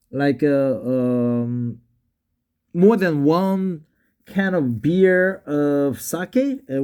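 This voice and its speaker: A man with a Korean accent, a native Korean speaker practicing English in a casual vlog, slightly tipsy and halting, full of hesitation fillers. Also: on the high side, mellow. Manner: halting, many drawn-out fillers. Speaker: English learner vlogging. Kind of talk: casual talk and reading aloud.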